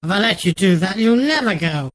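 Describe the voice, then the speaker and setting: A man playing a thin, energetic male office colleague in a radio drama, bossy, brash and emphatic. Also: sits high, fairly quick, dry recording, pitched above the performer's own voice. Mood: exasperated, bossy.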